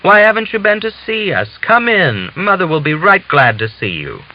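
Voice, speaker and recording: A man's voice: high-pitched, sing-song, normal speed, pitched above the performer's own voice. A man voicing an affectionate old peasant father in a storybook, fussing effusively with endearments. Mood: warm, fussing. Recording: dull hissy lo-fi audiobook recording.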